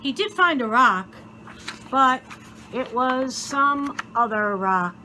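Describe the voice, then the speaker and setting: A woman reading a children's story aloud in an expressive, theatrical way, with deliberate dramatic pauses. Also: high voice, background noise. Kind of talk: expressive scripted story narration.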